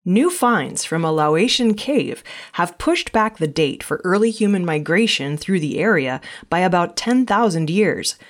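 Low-pitched woman reading scripted news. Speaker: news reader.

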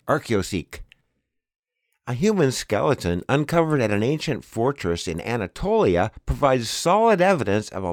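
A man's voice: mid-range voice, no echo, clean studio sound. A man reading a scripted news roundup and announcements as a program host. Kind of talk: scripted news summary and promo narration.

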